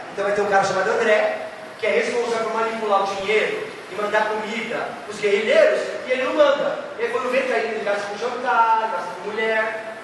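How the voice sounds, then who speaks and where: Animated Brazilian teacher in an echoey hall. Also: male voice, sits high. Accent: São Paulo state Brazilian Portuguese. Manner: lively, theatrical, addresses students directly. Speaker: literature teacher. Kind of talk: animated exam-prep lecture. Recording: echoey hall, far from mic, noisy.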